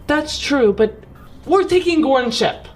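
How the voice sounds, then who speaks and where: A woman's voice: high-pitched, lively intonation, slow. A woman playing a tabletop role-playing game, chatting casually in and out of character. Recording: loud music behind the voice. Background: prominent background music.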